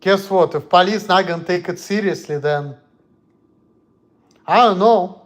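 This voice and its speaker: Blunt Russian-accented man, high voice. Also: unhurried. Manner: blunt, heated, speaks in broken English. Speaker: streamer. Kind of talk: casual livestream chat.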